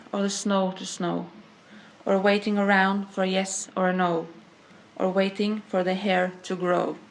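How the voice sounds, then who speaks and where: A woman teacher reading a children's rhyming book aloud in a measured, list-like way with small stumbles. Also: mid-range voice, vocal fry, rough recording.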